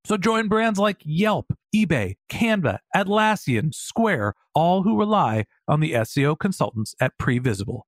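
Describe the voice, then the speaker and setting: A man's voice: a little high, sing-song, moderate pace, few pauses, dry recording, clean studio sound. A man reading a scripted sponsor message in a polished, salesy manner, as an announcer. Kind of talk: scripted ad read.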